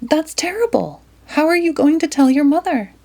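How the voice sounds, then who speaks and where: A woman's voice: high voice, expressive, normal speed, darkish tone, voice pushed up. A woman voicing a curious, earnest and wistful young boy turtle in a storybook read-aloud. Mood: puzzled, questioning. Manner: earnest, questioning.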